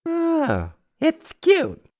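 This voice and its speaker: An actor playing a boozy, chummy, boastful socialite in an old-movie style audio drama, rambling and slangy. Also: male voice, very high-pitched, wildly swooping pitch, drawn-out words, a little mellow, heavily acted. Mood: teasing.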